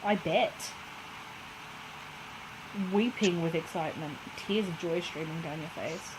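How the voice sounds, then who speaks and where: A woman's voice: deep voice, a little slow, low-quality recording. Playful woman podcaster, faint background noise. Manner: playful, deadpan joking, slangy. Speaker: podcast co-host. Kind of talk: casual podcast banter.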